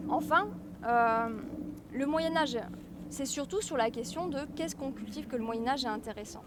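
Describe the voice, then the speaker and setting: A woman giving an informal public lecture, explaining things with hesitant pauses and repeated words. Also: high-pitched, noisy background. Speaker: guest lecturer, medieval historian.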